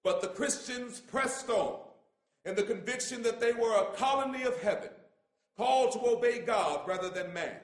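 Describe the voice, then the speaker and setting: A man reads aloud in an oratorical style, his voice set unusually high. The delivery is solemn, measured and impassioned, and the room adds a clear echo. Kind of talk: oratorical reading of a famous letter. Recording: echoey room.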